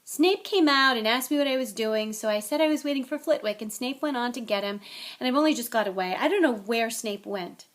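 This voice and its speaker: An earnest, easily flustered schoolgirl, voiced by a woman reading a storybook. Her voice sits high and she pleads in a plaintive, worried way. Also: expressive, measured pace, hardly any pauses. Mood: wailing.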